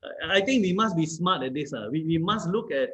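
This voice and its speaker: A man's voice: fairly high pitch, animated, fairly quick, a bit bright. A man with a Malaysian accent talking as an interview guest, explaining with examples and often repeating his phrases. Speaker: guest, company founder. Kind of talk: conversational interview discussion.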